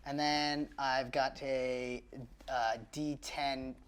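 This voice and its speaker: A man playing a tabletop roleplaying game, chatting casually and hesitantly thinking his moves out loud. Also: medium pitch, drawn-out words, slight background noise. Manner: animated, hesitant while working things out.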